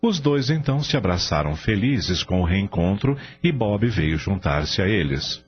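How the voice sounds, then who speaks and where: A man narrating a scripted radio drama as an expressive storyteller. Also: deep voice, unhurried. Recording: dull lo-fi audio, faint music.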